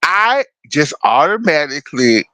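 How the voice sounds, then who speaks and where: A man with a deep voice co-hosting a podcast, talking bluntly and emphatically, swearing freely. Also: sing-song, unhurried, sharp tone, dry recording. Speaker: podcast co-host. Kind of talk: casual chat.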